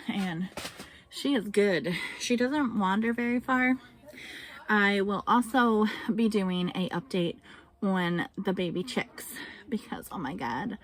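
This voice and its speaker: A woman homesteading vlogger talking casually to camera in a chatty, rambling way. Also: mid-range voice. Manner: chatty and rambling, reads out seed names.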